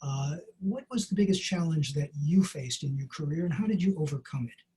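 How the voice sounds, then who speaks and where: An older man interviewing a guest on a video call from a book-lined study, asking questions with halting pauses. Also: on the high side, animated, warm tone, husky. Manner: halting, lots of uh fillers. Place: study lined with bookshelves, indoors. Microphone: video call, webcam.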